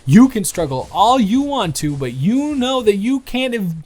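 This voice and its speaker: A man's voice: high voice, very animated, measured pace, darkish tone, full character voice. A young man playing a teasing, affectionate best friend of someone's brother in a casual, slangy romantic roleplay audio drama. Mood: playful.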